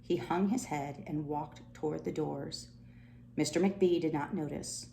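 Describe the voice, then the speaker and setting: A woman reading a children's storybook aloud in an expressive narrating style. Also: deep voice, measured pace, creaky. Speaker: storybook reader. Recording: roomy echo, faint background noise.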